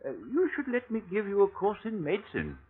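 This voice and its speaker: A man's voice: sits high, huge pitch swings, a little fast, husky, full character voice. A man acting in an old radio drama as a jovial, needling country doctor, hesitant and chatty in manner. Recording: tinny, noisy old radio recording.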